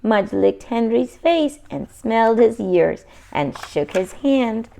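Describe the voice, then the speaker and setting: A woman's voice: fairly high pitch, expressive, slow, creaky, warm tone. A woman reading a children's picture book aloud expressively, adding simple asides for young listeners.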